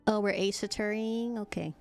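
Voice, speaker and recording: Woman, game streamer, casual reactions, mid-pitched, creaky fry, music behind. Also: fairly even intonation, normal speed.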